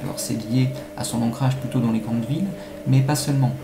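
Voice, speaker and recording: A man giving measured, analytical answers as an academic expert being interviewed, with some halting phrasing. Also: mid-range voice, steady tone. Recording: echoey room, faint-to-clear background noise.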